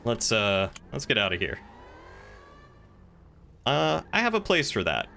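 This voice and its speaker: Man, mid-pitched, forceful bright voice, casual roleplay streamer, clear background noise. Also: huge pitch swings, measured pace. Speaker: streamer playing a crime roleplay character. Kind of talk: casual in-character game roleplay chat.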